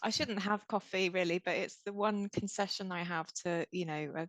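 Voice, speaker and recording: Hesitant soft-spoken woman, dry sound. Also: on the low side, steady tone, moderate pace, no echo. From English Midlands. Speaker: podcast guest, clay artist. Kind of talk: casual conversation. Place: small home studio room.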